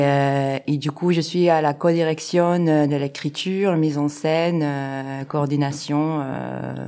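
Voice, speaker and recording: A woman from France with a notably deep voice talks through interview answers about her work as a co-director. She hesitates often and fills her pauses with small filler sounds. Her tone stays casual and conversational. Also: warm tone. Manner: hesitant, many fillers, laughs easily. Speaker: artistic co-director.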